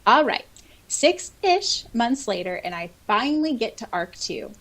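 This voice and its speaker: A woman co-hosting a podcast, reading comments aloud in a casual, expressive, giggly way. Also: on the high side, a little slow. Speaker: podcast co-host.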